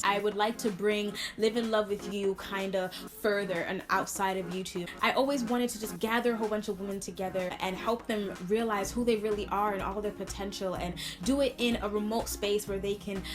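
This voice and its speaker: A woman's voice: mid-range voice, steady tone, normal speed, hardly any pauses. A woman from Brooklyn, chatting casually and expressively to camera as a video blogger, stressing points by repeating words. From Brooklyn, New York, United States. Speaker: video blogger answering viewer questions. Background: music.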